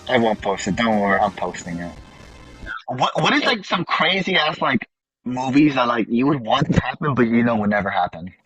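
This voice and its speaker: A man's voice: medium pitch, sing-song, normal speed, fairly bright tone, husky, low-quality recording. A young man chatting casually and telling stories to his friends as the host of the group. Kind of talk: casual chat and storytelling among friends. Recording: music playing behind the voice. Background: music.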